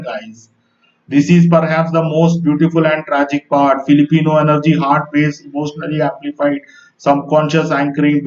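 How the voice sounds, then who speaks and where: A man's voice: on the high side, a little mellow, recorded from a distance, dull audio. Indian man, earnest rambling talk, roomy sound. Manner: rambling and earnest, with little laughs and repeated "guys". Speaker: spiritual video host. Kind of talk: casual monologue to camera.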